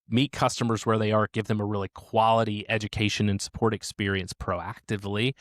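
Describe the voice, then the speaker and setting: Guy with a lowish voice explaining his support setup, steady and methodical, dry close sound. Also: no echo. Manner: measured, explanatory, slows down on key points. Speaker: support team leader, guest. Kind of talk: conversational interview answers.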